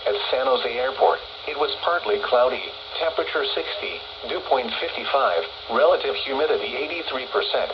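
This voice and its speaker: A synthetic-sounding man's voice reads a scripted weather and marine forecast as an automated radio announcer, formal, measured and impersonal, transmitted over radio. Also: mid-range voice, expressive. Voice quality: synthetic text-to-speech voice. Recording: noisy, muffled weather radio reception.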